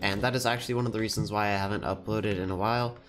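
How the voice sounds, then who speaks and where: A teenage boy with a fairly low voice and a clogged, stuffy nose talks casually over his gameplay, upbeat and chatty. Music plays clearly under his desk-mic voice, with keyboard clicks in the background. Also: fairly even intonation, fairly bright tone. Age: teens. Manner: chatty, upbeat, quick laughs. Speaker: gaming YouTuber. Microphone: desk microphone. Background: background music and keyboard typing.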